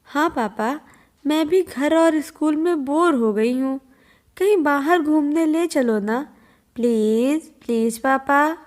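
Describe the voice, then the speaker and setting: A woman voicing an eager, mischievous little girl in a cartoon story, with sing-song, drawn-out pleading. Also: high voice, a little mellow, pitched above the performer's own voice.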